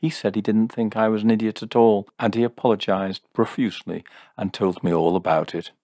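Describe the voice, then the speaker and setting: Man voicing a calm, soft-spoken young woman. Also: lowish voice, fairly quick, dry recording, studio quality, pitched above the performer's own voice, close to an everyday voice. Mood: calm, knowing. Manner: soft-spoken, matter-of-fact. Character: calm, gently reproving young woman with teasing wit.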